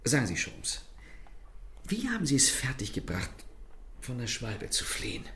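A man acting a suave, theatrical gentleman thief in a German radio drama, mockingly polite and amused even when cornered, with breathy asides. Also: medium pitch, moderate pace. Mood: amused, defiant.

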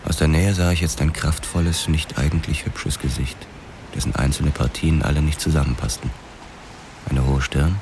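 A male actor playing a cool young boxer cop, narrating his own story in a measured, understated noir style. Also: a bass voice, background noise. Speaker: actor and narrator in a radio drama. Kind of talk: first-person noir narration.

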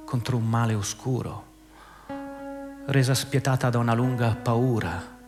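A man's voice: medium pitch, fairly even intonation, measured pace, a little mellow. Man in theatrical narration over guitar music. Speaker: narrator in a radio theatre piece. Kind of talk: dramatic scripted narration, theatrical literary reading. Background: music with plucked guitar.

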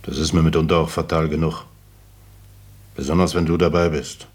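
A weary, careful small-town husband in a radio play. His voice is extremely deep and rough-edged. He speaks with hesitant understatement. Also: fairly even intonation, moderate pace. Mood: uneasy. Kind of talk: German radio play acting.

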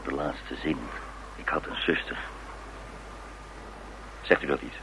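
Cool dogged radio play detective, super low voice, short probing questions, hissy old recording. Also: male voice, steady tone, slow, vocal fry, full character voice. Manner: terse, probing, dryly formal. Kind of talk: Dutch radio drama acting.

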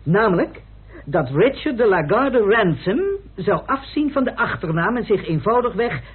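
An actor playing an eager, fussy investigator who declaims in an old radio play. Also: male voice, high voice, lively intonation, normal speed, breathy edge, full character voice. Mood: officious. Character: eager, officious investigator reading out documents. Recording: scratchy lo-fi old radio recording.

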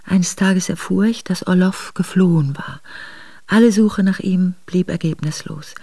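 Exiled noblewoman telling her story for a radio play, gravelly voice, measured and earnest. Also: on the low side, dark tone, dry recording. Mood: grave, reflective. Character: Russian noblewoman recounting her husband's exile, earnest, reflective. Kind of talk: literary first-person radio drama narration.

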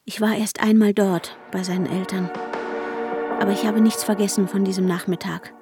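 An actress playing an anxious wife searching for her missing husband in a radio drama, urgent and breathy, often slipping toward a whisper. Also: mid-range voice, fairly even intonation, normal speed, natural voice. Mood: bitter, brooding. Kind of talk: radio drama acting with intimate inner monologue. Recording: guitar music behind the voice. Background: guitar music.

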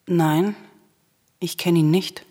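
A woman's voice: on the low side, steady tone, slow, warm tone. An actress playing a fervent, vengeful daughter of a murdered king in a classical radio tragedy, solemn and declamatory. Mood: questioning.

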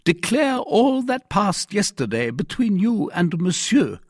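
A man voicing a pompous, exasperated police commissary in an audiobook, questioning in an imperious, drawn-out, indignant way. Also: high-pitched, very animated, no echo, studio quality, voice pushed up, heavily acted. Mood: indignant, flustered. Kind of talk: dramatized audiobook reading.

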